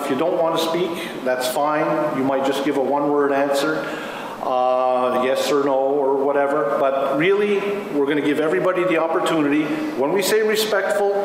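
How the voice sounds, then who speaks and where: A man facilitating a public meeting, explaining things in a halting way as he addresses the room. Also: a little high. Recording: very echoey hall, clear background noise.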